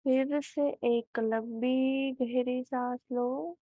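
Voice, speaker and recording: A woman with an Indian accent narrating a guided meditation in a soothing, unhurried manner, leaving long pauses between phrases. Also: high voice, steady tone, dry recording. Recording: thin, phone-like narrow band.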